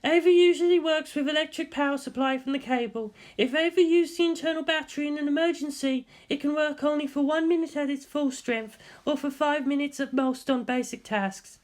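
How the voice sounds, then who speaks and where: A narrator performs a stern woman scientist for a fan fiction audiobook. Her voice sits high. She speaks in clipped, instructional phrases, like a commanding teacher who is easily irritated. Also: brisk, hardly any pauses, natural voice. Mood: brisk, instructive. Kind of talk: amateur fan fiction reading with character voices.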